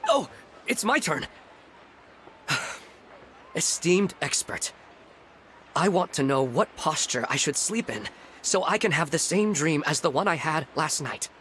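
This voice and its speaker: A man playing a polite, wistful widower in a video game, speaking in a courteous and halting way. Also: a little high, lively intonation, sharp tone, slight background noise. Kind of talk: video game dialogue acting.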